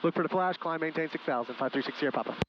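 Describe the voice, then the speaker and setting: A man's voice: a little high, racing. A man piloting a small plane, making clipped radio calls and checklist callouts over a headset. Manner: clipped phraseology, spelled-out callsigns. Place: inside a small propeller plane cockpit. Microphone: aviation headset. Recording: muffled headset audio with engine noise. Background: light aircraft engine noise.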